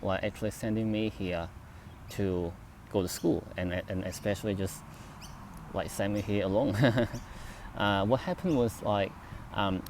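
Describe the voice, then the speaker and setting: Halting young man from China, lowish voice. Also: darkish tone, a little hiss or hum. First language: Chinese. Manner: halting, many fillers and restarts. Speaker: vlogger. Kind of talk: casual personal story to camera. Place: outdoors near traffic, turkey nearby.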